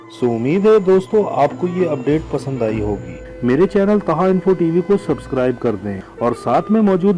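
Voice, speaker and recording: A man's voice: on the high side, mellow, lo-fi sound. A man with a Pakistani accent delivering scripted news commentary as a channel host, emphatically addressing his viewers. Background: music bed.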